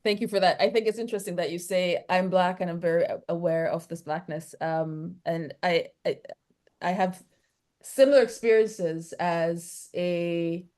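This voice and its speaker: Mellow, low-pitched Jamaican woman academic. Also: steady tone, normal speed. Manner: hesitant, searching for words, repeating phrases. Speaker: academic discussant asking questions. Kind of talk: academic discussion.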